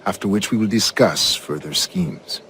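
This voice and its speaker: An actor playing an authoritative older governor and scheming grandmaster in a video game, with a Spanish accent and a measured, formal, grandiose delivery. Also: male voice, deep voice, fairly bright tone, a little hiss or hum. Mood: grandly confident. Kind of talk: dramatic video game acting.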